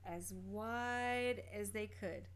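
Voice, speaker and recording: An older woman, a grandmother, reading a bedtime picture book aloud in a deliberate storytelling manner. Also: mid-range voice.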